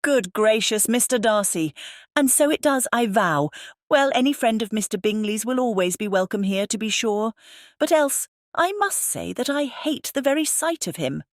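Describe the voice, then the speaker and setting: A woman's voice: fairly high pitch, lively intonation, normal speed, dry recording, studio quality, natural voice. A woman voicing a fussy, opinionated middle-aged mother in a dramatized audiobook, emphatic and huffy. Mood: indignant. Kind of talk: dramatized audiobook character acting.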